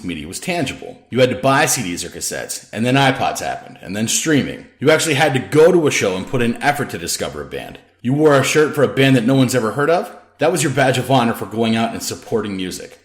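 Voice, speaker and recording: Low-voiced man narrating, lively and roomy. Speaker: author reading own novel. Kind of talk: scripted audiobook narration. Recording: roomy, echoing sound.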